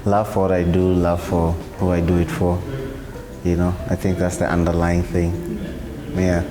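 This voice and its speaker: A man's voice: sits low, moderate pace, roomy sound. A Kenyan man in his thirties, a musician, talking reflectively in an interview. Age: 30s. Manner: relaxed, reflective, sighing. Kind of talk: interview testimonial. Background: background music.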